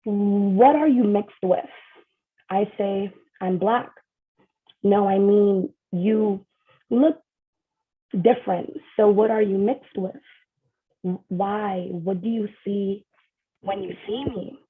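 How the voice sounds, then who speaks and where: A woman's voice: medium pitch, slow, long pauses, breathy edge. A nervous young woman performing a spoken word poem at an online open mic, earnest and mixing in Spanish lines. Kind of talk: spoken word poetry reading. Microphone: video call. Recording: tinny lo-fi video call audio.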